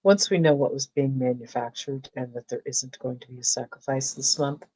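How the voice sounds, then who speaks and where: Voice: mid-range voice, fairly even intonation, moderate pace, darkish tone, slight background noise, close to an everyday voice. Player as a blunt, wary woman investigator. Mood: firm, wary. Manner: firm, dry and warning. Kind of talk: playing a character in a tabletop game.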